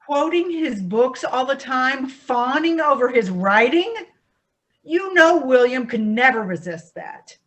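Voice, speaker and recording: Woman as Margaret, defensive and very expressive. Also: high voice, slow pace. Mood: bitter. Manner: hedging, formal, defensive. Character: Margaret, defensive, evasive, embittered divorced woman. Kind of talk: staged play reading.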